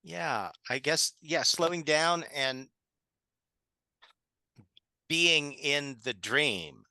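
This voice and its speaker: A man in a casual panel discussion, thinking aloud in a halting way. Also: a little high, sharp tone, no room sound. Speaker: theater artist on a panel.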